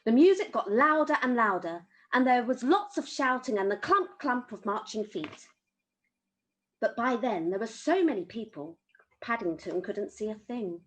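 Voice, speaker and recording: A woman's voice: fairly high pitch, animated, moderate pace, fairly bright tone, rough recording. A woman reading a children's story aloud as an amateur storyteller.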